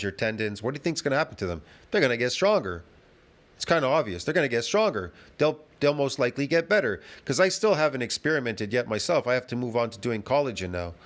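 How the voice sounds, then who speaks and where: A man giving a ranting fitness commentary, emphatic and repetitive, full of restarts and rhetorical questions. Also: medium pitch.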